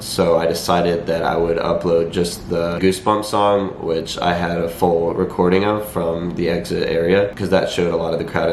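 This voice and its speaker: A young man speaks in a low voice that is slightly raspy from a cold. He explains things to viewers in a matter-of-fact vlog style with little change in pitch. The room is echoey, with a faint noise behind him. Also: darkish tone. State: has a cold. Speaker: vlogger. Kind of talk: talking to camera, explanatory vlog.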